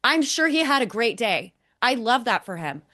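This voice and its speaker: A woman's voice: a little high, big pitch swings, moderate pace, bright, forceful tone. A woman co-hosting a motorsport podcast, giving emphatic, critical commentary and explaining point by point. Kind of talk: opinionated podcast commentary on race broadcasts.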